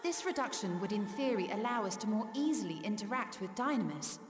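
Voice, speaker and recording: A woman's voice: mid-range voice, animated, close to an everyday voice. A woman voicing a learned, earnest scholar in a fantasy game, formal and articulate. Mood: earnest, probing. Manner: formal, measured, articulate questioning. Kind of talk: dramatic fantasy game acting. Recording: reverberant hall, music underneath.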